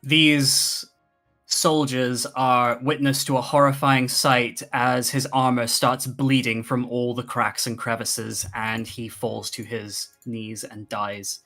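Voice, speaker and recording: A man's voice: mid-range voice, flat delivery, slow pace, pushed and bright, soft background music. A man running a tabletop fantasy game as game master, talking casually and narrating to the players.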